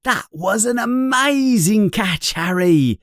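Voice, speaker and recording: A woman voicing a cheerful young boy who speaks in an excited, eager way. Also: mid-range voice, slow pace, no room sound, studio quality, voice pushed up.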